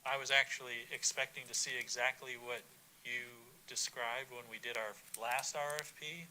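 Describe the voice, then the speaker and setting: A man on city staff answering public questions at a council work session in a measured, explanatory way. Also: mid-range voice, bright, forceful tone, slight background noise, rough recording. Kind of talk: public meeting response.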